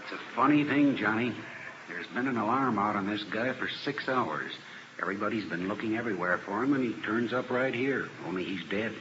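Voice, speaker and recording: A man's voice: on the low side, a little fast, natural voice. A man playing a wry, weary, plainspoken police officer in a 1950s radio drama. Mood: wry, resigned. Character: matter-of-fact male police officer, wryly weary. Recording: dull, noisy old radio recording.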